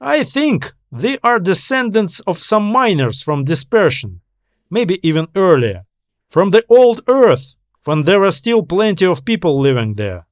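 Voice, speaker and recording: A confident male space-station officer , acted by a male narrator, gives his report in a science fiction audiobook. His voice is raised in pitch and emphatic, explaining with self-assurance. The sound is dry. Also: big pitch swings, a little slow, no echo. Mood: assured, speculating. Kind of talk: audiobook character dialogue.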